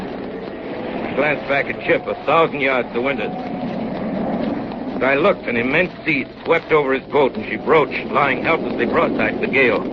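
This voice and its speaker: A muffled, heavily noisy 1930s radio drama recording presents an actor as a grave naval officer. He narrates dramatically in a slightly low male voice. The delivery is scripted and serious. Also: close to an everyday voice. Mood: tense, grave. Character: grave naval officer recounting a polar sea disaster.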